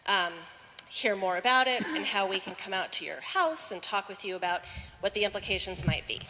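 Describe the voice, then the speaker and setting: A woman with a loud voice giving a brisk, friendly informational presentation as city planning staff at a neighborhood meeting. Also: medium pitch. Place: community meeting room. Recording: reverberant meeting hall, faint room noise.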